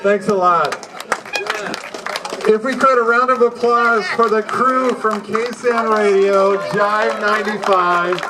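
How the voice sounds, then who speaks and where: A man's voice: high voice, fairly even intonation, slow pace, distant mic, background noise. A man informally hosting a live panel at a reunion event in a chatty manner. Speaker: event host. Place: public event with audience. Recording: live event with loud crowd.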